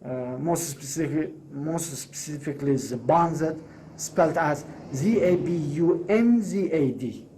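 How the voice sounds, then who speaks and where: Emphatic man pitching. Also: unhurried, warm tone, slight background noise. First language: Persian. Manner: emphatic and persuasive, self-correcting restarts. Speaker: course creator pitching a proposal. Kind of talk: solo spoken pitch to camera.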